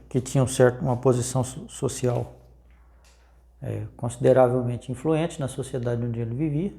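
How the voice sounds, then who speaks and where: A man's voice: medium pitch, creaky, warm tone. A man with a Brazilian accent presenting history in informal, unscripted storytelling, conversational and often checking in with tag questions.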